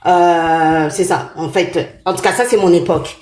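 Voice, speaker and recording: A woman's voice: fairly low pitch, fairly even intonation, unhurried, darkish tone. Woman, thirties, from Canada, live caller, earnest, echoey sound. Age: 30s. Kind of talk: live call-in chat.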